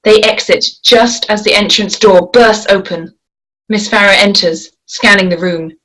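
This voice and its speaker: A woman's voice: medium pitch, unhurried, a bit bright, low-quality recording. A woman reading stage directions as scripted narration at a table read. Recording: faint noise and clicks.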